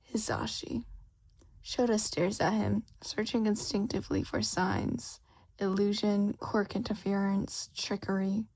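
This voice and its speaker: A woman's voice: medium pitch, vocal fry, darkish tone, husky. A woman reading a scripted story aloud as the narrator of a fan fiction audiobook. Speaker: podfic reader, narrator.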